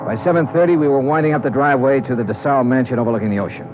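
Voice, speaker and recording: Actor as tough American investigator, very tinny radio. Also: male voice, medium pitch, very fast, close to an everyday voice. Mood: dry narration. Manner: clipped, hard-boiled, dry. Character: tough, cynical male insurance investigator narrating his case. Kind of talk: 1950s radio noir acting. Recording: tinny old radio recording, music underneath.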